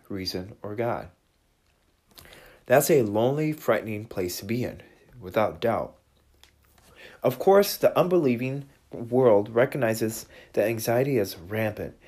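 A man's voice: mid-range voice, darkish tone. A man giving a casual, earnest sermon, his voice congested from seasonal allergies. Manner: earnest, conversational, with restarts. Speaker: preacher.

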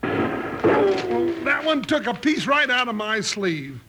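A comic western sidekick with a very high voice. He grumbles and frets in folksy cowboy talk. The sound is a noisy vintage radio drama recording. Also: big pitch swings, takes it slowly, heavily acted. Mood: exasperated.

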